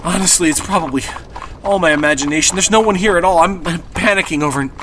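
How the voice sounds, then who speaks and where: A man's voice: high voice, fast, a bit bright, slight background noise. An actor playing an anxious young man, a nervous, stammering carriage passenger in a horror audio drama. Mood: panicky.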